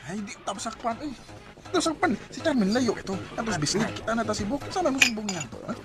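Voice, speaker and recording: Lively, high-pitched Bisaya buddy. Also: male voice, fast talker, music in the background. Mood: jovial, coaxing. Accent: Filipino, Bisaya. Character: breezy, persuasive construction-worker pal who urges his friend on. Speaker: actor in radio drama. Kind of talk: Bisaya radio drama acting. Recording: clearly noisy drama recording.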